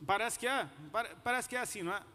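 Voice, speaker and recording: A man's voice: extremely high pitch, quick pace, pushed and bright. A man with a Brazilian Portuguese accent preaching in a colloquial, slangy way, telling personal anecdotes to a congregation. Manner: colloquial, slangy, gets listeners to repeat phrases. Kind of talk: conversational sermon with personal anecdotes. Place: large hall, preaching to a congregation. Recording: echoey hall with noticeable background noise.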